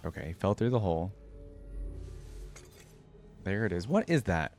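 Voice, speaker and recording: A man's voice: low-pitched, sing-song, moderate pace, a little mellow, faint music behind. A man giving casual reaction commentary as host, talking in an informal, slangy way and often exclaiming or musing aloud.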